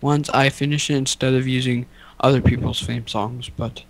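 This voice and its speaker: A man's voice: mid-range voice, steady tone, normal speed, vocal fry. A young man co-hosting a podcast in casual, unscripted chat, rambling along in a goofy, mock-boastful way. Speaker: podcast co-host.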